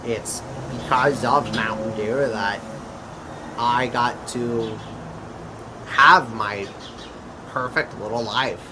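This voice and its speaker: A man playing an earnest, sentimental outdoorsy husband in an amateur skit, speaking deliberately in short broken phrases. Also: mid-range voice, fairly even intonation, lightly acted. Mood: earnest, wistful. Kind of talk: amateur skit dialogue, monologue. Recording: clearly noisy, constant background hum.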